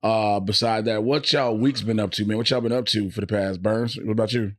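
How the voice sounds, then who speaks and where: A man's voice: on the low side, a little fast. Casual male podcast co-host, slangy and sweary. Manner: animated storytelling with slang and heavy swearing. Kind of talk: casual group banter.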